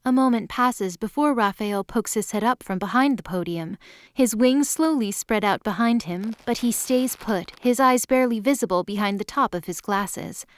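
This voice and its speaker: A woman's voice: on the high side, moderate pace, no echo. A woman reading scripted story narration as the narrator of an audio drama.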